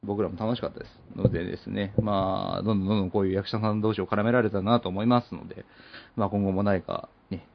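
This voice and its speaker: A man's voice: fairly low pitch, fairly even intonation, fast, vocal fry. A man hosting a casual radio show, bantering and throwing in frequent interjections. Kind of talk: casual joking radio chat. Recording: muffled lo-fi audio with music behind. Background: background music.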